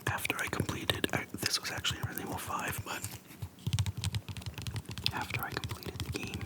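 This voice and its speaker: An ASMR host speaking in a soft, breathy unvoiced whisper, slow and hushed with pauses between short phrases, close to the microphone. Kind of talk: whispered ASMR, relaxed personal chat. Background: tapping on a plastic case.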